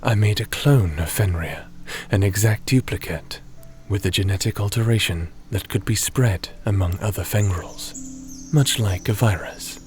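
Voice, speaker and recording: A gentle, cautious fantasy guardian acted by a man in an ASMR roleplay. His deep voice moves slowly and deliberately, soothing and slightly formal. Music plays softly behind him. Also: natural voice. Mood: reflective, explaining. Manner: measured, soothing, slightly formal, sometimes breathy near-whisper.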